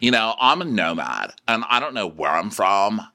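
A man's voice: lowish voice, very animated, moderate pace, bright, forceful tone, heavily acted. A man voicing an airy, self-important young woman who sees herself as a worldly nomad, in a comic retelling.

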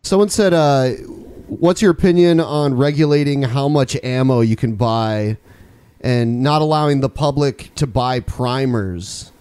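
A man's voice: medium pitch, a little slow, a little mellow, clean tone, dry recording, studio quality. A man hosting a podcast, chatting casually and reading out viewer comments. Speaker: podcast host.

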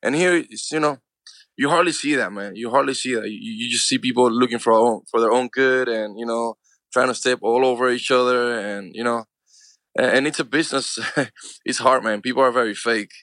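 A quick-talking man around forty with a Cuban accent, his first language Spanish. He talks with earnest conviction, dropping "man" and "you know" constantly, and the sound is close and dry with no room around it. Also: mid-range voice, a bit bright, no echo. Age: 40s. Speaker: actor and filmmaker guest. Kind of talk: casual interview talk.

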